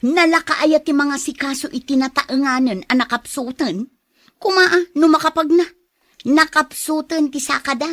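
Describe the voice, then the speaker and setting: Woman as high-voiced, grumbling, doubtful accomplice. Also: moderate pace, no echo, clean studio sound, lightly acted. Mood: doubtful, reluctant. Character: doubtful woman accomplice reluctant to nurse an elder. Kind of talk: Ilocano audio drama acting.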